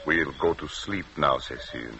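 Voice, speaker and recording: An actor playing a tense, secretive village doctor with a curt, evasive and menacing manner in vintage radio drama. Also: male voice, a bass voice, moderate pace, pushed and bright, exaggerated voice. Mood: guarded. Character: tense, secretive village doctor husband hiding murderous intent. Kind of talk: 1940s-50s radio drama acting. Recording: dull, noisy vintage radio recording.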